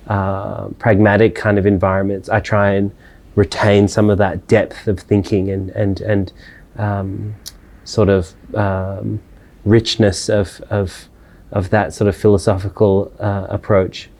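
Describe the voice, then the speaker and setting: A man, giving thoughtful interview answers with many pauses, fillers and restarts. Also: fairly low pitch, darkish tone. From Australia. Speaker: interviewed scholar.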